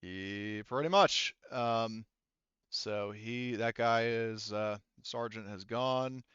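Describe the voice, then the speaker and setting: A man running an online tabletop roleplaying game as game master, thinking aloud in a halting way over a headset mic. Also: fairly low pitch, a bit bright, clear, resonant tone, dry recording, highs cut off. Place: online voice session.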